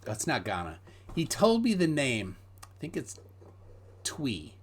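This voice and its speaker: A man's voice: medium pitch, wildly swooping pitch, measured pace, husky. A man hosting a live stream, telling meandering stories full of fillers and reading viewer messages aloud. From United States. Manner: rambling storyteller, hesitant with many fillers, reads viewer messages. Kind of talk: casual chat.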